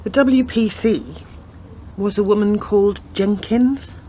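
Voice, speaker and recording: A woman in a radio play acting a persistent, earnest police detective who lays out facts deliberately. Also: mid-range voice, dark tone, a little hiss or hum, natural voice. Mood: pointed.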